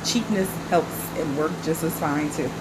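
Woman vlog host, 40s, a bit nasal from a stuffy nose, lowish voice, relaxed and chatty, patio recording with steady background noise. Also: normal speed. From Carolinas, US. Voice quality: a little nasal. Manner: relaxed, chatty, smoking while she talks. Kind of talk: casual chat to camera. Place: outdoor patio at night.

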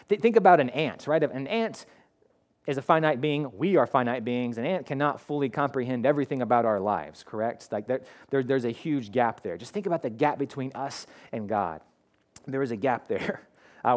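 A man in his thirties preaching a sermon in a school hall, talking in a conversational, animated way with many restarts, on a headset mic. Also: on the high side. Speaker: pastor. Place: school hall with curtained stage.

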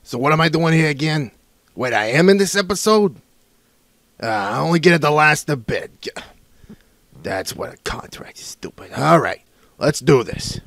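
A man's voice: fairly high pitch, animated, normal speed, fairly bright tone, no room sound. A fan voice actor complaining sarcastically between blooper takes, grumbling about his small part.

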